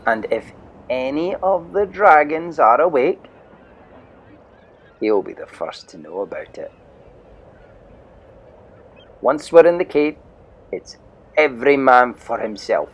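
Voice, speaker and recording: A man's voice: mid-range voice, big pitch swings, slow pace, pauses often, pitched above the performer's own voice. A man voicing a sneering, bossy young bully in a storybook audio play, giving orders to the other boys. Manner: sneering, commanding. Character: sneering bossy boy bully, eager for danger.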